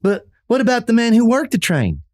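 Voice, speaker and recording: A woman's voice: on the high side, huge pitch swings, brisk, a little mellow. A woman playing a curious, naive young male outlaw underling in a Western radio play, folksy and inquisitive, with an American accent. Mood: inquisitive, puzzled.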